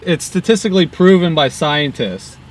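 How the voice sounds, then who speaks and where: High-pitched young man bantering from a car. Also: expressive, moderate pace, low-quality recording. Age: young adult. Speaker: prankster YouTuber, drive-thru customer. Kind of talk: casual prank banter. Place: inside a car at a drive-thru at night. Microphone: camera held by passenger in the car. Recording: car engine and outdoor noise.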